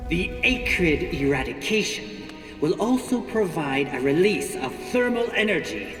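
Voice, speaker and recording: An actor voicing a lofty, propagandistic corporate leader making a grand speech to colonists in a video game. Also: male voice, on the high side, big pitch swings, unhurried, fairly bright tone, far from the mic. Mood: lofty, assured. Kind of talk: scripted video game acting, broadcast speech. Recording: echoey hall reverb, music behind, from afar.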